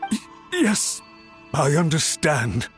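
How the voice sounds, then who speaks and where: An actor playing a cowed, deferential male servant in a video game, meek, halting and apologetic. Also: fairly high pitch, big pitch swings. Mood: frightened. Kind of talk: scripted video game dialogue. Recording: background music under the voice.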